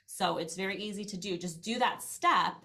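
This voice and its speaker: Middle-aged woman, podcast guest, casual conversation, chatty storytelling, self-deprecating, mid-pitched voice. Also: normal speed, bright, forceful tone. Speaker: podcast guest, language-learning business owner. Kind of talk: casual interview conversation, anecdotal.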